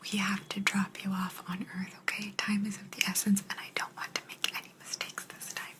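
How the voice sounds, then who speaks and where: A woman's voice: medium pitch, monotone, lightly acted. Whispering woman as an aloof alien abductor. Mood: patient, explaining. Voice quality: soft, breathy whisper. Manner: unhurried, hushed, personal attention. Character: aloof female alien abductor, calm, secretive, gently apologetic. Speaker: ASMR roleplay performer. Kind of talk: whispered ASMR sci-fi roleplay.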